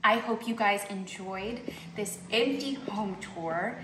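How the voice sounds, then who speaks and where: A woman's voice: medium pitch, slow, echoey room, noisy background. A woman in her thirties hosting a casual home tour vlog, gushing and chatty with lots of fillers. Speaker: vlog host. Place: outdoor garden, then indoor home.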